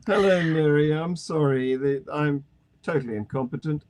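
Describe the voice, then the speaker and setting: A man's voice: a little high, moderate pace, crowd noise. A man hosting a live online talk show, wry and self-deprecating, chuckling as he talks. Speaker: host. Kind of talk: casual chat, hosting.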